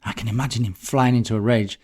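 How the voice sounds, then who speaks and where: A man's voice: fairly low pitch, steady tone, fast talker, darkish tone, breathy edge. A man voicing a distressed, bitter woman in a mystery story, talking in a dramatic, gossipy, emphatic way. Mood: scornful. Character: distressed, bitter middle-class woman recounting a friend's death.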